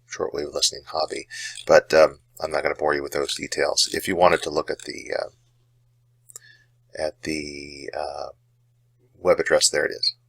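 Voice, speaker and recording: A man giving a casual, unscripted explanation as a hobbyist host, halting and hesitant, with frequent pauses and a gravelly voice. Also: very deep voice, bright, forceful tone, no room sound.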